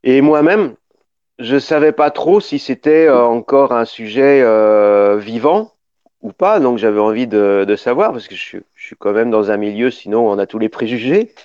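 A man's voice: mid-range voice, lively intonation, normal speed, darkish tone, dry recording. A middle-aged man from Paris, a documentary filmmaker being interviewed on radio, who explains at length and often restarts his sentences. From Paris, northern France. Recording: dull, dry studio sound.

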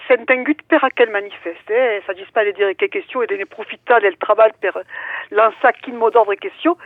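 A woman's voice: a little high, dry recording. A woman, phoning in to a radio interview and explaining emphatically, often checking she is understood. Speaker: activist, phone-in guest. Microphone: telephone. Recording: tinny narrowband phone line.

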